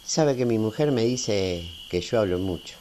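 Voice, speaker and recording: A man acts out a folksy, middle-aged Argentine family man in a radio play. His voice sits fairly low, and he talks warm-heartedly and at length in a Rioplatense accent, checking that his listener agrees. Crickets and faint hiss sit behind him. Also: lively intonation, moderate pace, close to an everyday voice. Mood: reassuring, homely. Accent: Rioplatense Argentine Spanish. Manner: talkative, folksy, seeking agreement. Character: chatty, tender-hearted small-town family man, patient and homely. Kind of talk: amateur radio play acting. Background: crickets, night ambience.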